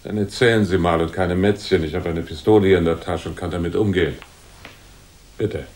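A man's voice: deep voice, a little fast, a little mellow, natural voice. An actor playing a big, bossy gunman in a noir radio drama, curt, commanding and threatening. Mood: menacing, curt.

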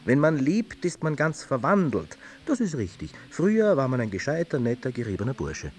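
A man's voice: medium pitch, huge pitch swings, normal speed, a little mellow. A man acting as an aging, disillusioned poet, reciting literary miniatures in a wry, irritable, theatrical way. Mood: indignant, mocking. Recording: music under the voice.

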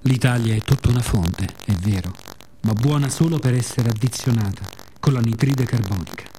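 A man's voice: mid-range voice, normal speed. Theatrical monologist, man, noisy recording. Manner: expressive, with playful spoken sound effects.

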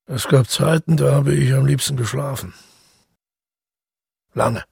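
An actor playing a reclusive, brooding countryman who muses haltingly over his memories in a radio play, with a gravelly, husky voice. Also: medium pitch, darkish tone, dry recording, studio quality, heavily acted. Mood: musing. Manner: musing, halting monologue.

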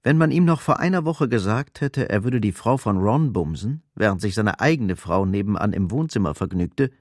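A man's voice: fairly low pitch, expressive, moderate pace, a little mellow, no room sound, clean studio sound. A man narrating a scripted radio drama as its storyteller.

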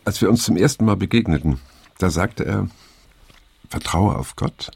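An actor playing a weary, reflective middle-aged man in naturalistic radio drama, speaking haltingly and pensively with a gravelly, husky voice. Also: deep voice, lively intonation, darkish tone, dry recording, full character voice. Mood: resigned, somber. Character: weary middle-aged male survivor of a hippie circle, reflective.

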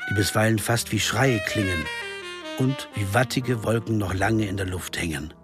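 A man playing a brooding musician turned sleuth in a radio crime play. His voice sits fairly low, reflective and a little hesitant, with music clearly underneath. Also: moderate pace, lightly acted. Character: worried, brooding male jazz pianist turned amateur sleuth. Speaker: actor playing the narrator and lead. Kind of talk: German crime radio drama, narration and dialogue.

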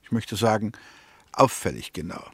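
An actor playing a coolly accusing prosecutor in a 1960s radio drama, measured, pointed and methodical. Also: male voice, on the low side, sharp tone, slightly rough, no echo, clean studio sound, heavily acted. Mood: pointed, assured. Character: coolly accusing male prosecutor reconstructing a suspect's movements.

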